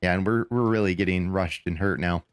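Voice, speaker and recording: A man with a raspy voice, still getting over a lost voice, giving casual live commentary on a game he is playing and thinking aloud as he goes. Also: deep voice, a little fast, no room sound, studio quality. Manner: rambling, thinking aloud with fillers and restarts.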